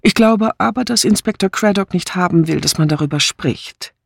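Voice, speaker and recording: A man's voice: sits high, normal speed, gravelly, no echo, pitched above the performer's own voice. A man voicing a composed, patient lady of the house in an audiobook, controlled and reassuring with faint irritation. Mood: controlled annoyance.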